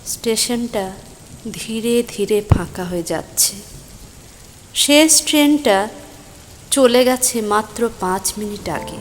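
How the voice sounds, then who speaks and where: A woman playing a stranded, concerned young woman who narrates her own mystery story, emotive and suspenseful, with deliberate pauses. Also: a little high, expressive, a little hiss or hum, poor audio. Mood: suspenseful, weary. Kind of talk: scripted first-person dramatic story narration. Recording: voice over rain and thunder ambience.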